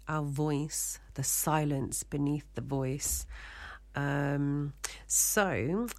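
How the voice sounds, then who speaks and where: A woman hosting a community radio show, talking casually and unscripted in a rambling, confiding way, as if thinking aloud. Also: low-pitched.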